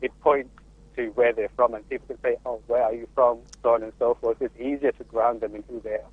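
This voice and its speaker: A man's voice: mid-range voice, steady tone, fast, breathy edge, dry recording. A man with a Zimbabwean accent calls in to a radio panel, hesitating and restarting often. His voice comes over a thin, phone-like line with no room sound. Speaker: panelist, father. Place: remote call from the UK.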